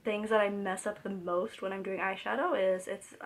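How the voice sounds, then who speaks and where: A hoarse young woman getting over a cold, chatting casually through a makeup tutorial at home, rambling with lots of fillers and restarts. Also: medium pitch, level tone, moderate pace. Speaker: beauty vlogger.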